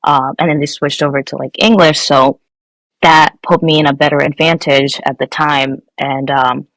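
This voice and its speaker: A woman's voice: low voice, level tone, normal speed, fairly bright tone, no room sound, poor audio. A young woman from Nepal, a guest engineer, answering interview questions casually with many fillers and restarts.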